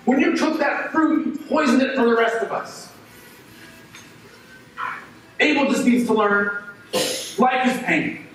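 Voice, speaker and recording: A man's voice: high voice, measured pace, a bit bright. A man performing a first-person dramatic monologue as a resentful, jealous young farmer, speaking with impassioned, ranting intensity. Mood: bitter. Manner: impassioned, swings from brooding to ranting. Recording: very echoey hall, voice from far off, faint noise.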